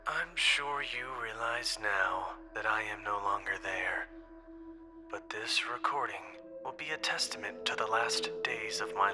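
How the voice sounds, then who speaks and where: A man playing an isolated, reflective man recording a farewell message, speaking solemnly and deliberately with breathy phrase endings. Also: fairly low pitch, natural voice. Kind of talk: scripted dramatic monologue, recorded farewell message. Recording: voice over music.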